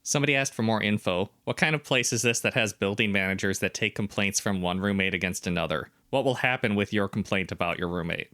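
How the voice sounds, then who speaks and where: A man co-hosts a casual podcast, reading online comments and asking pointed clarifying questions. His voice is low-pitched yet bright and forward in tone. The recording is close and dry. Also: quick pace, hardly any pauses, no echo. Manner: inquisitive, asks clarifying questions, opinionated. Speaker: podcast co-host.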